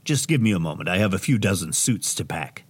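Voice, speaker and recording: An actor playing a theatrical, self-important radio host in an audio drama, grandiose and melodramatic. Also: male voice, low-pitched, expressive, a little fast, breathy edge. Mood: dramatic relief. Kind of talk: audio drama acting, radio showman patter.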